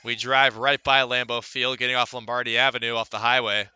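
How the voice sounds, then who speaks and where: A man's voice: mid-range voice, flat delivery, fairly quick, sharp tone, clear, resonant tone, lo-fi sound, faint music behind. A man calling a baseball game as a live radio play-by-play announcer.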